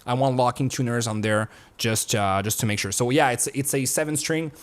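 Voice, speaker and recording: The voice is a young man's, at an everyday pitch, talking to camera in his home studio. He sounds relaxed and loose, wanders from point to point and drops the odd swear word. It plays as an unscripted chat with viewers. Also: a little fast. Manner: relaxed and rambling, swears casually. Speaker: YouTuber.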